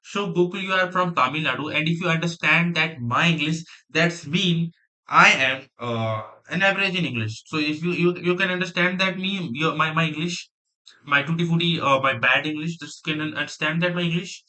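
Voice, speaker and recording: A young man from Delhi with an Indian accent, a native Hindi speaker, chatting casually on a coding livestream and rambling quickly with many fillers. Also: on the high side, sharp tone, low-quality recording. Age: young adult. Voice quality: rapid, rambling, filler-heavy talk. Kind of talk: live stream chat, mixing Hindi and English.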